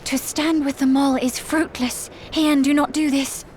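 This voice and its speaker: An earnest young tribeswoman, pleading and sincere. Her voice is a little high. Game music plays faintly underneath. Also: level tone, a little slow, darkish tone.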